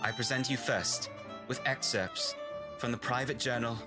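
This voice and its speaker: An actor playing a solemn, formal gentleman who presents testimony in a measured, old-time radio drama style. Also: mid-range voice, over music, close to an everyday voice. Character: grave, formal gentleman bearing witness to strange events.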